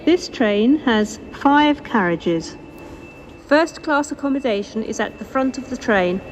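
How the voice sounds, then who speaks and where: Female British station announcer, higher-pitched, slow formal delivery, PA with faint background noise. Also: expressive. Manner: formal, measured, segmented phrasing. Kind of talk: scripted public-address train announcements. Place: train station, over loudspeakers. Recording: noisy station PA recorded on location.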